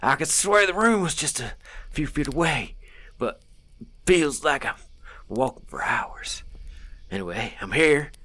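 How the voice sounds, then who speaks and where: A man's voice: on the high side, lively intonation, a little slow, long pauses, bright, forceful tone, heavily acted. A man acting a rattled, wary field agent, muttering terse fragments of an eerie horror log. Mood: uneasy, weary. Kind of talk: dramatic reading of a horror log.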